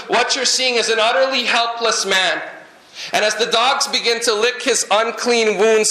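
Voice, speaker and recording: A man from Guyana preaches in a lively way, mixing jokes and asides into his sermon. His voice sits high and bright. It rings through an echoey church hall. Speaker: pastor.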